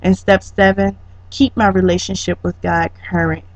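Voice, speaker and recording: A student presenter giving a prepared, reflective slide presentation, speaking haltingly with drawn-out pauses in mid-sentence. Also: medium pitch, little pitch movement. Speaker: student presenter, educator training as a counselor. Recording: dull lo-fi sound with room echo.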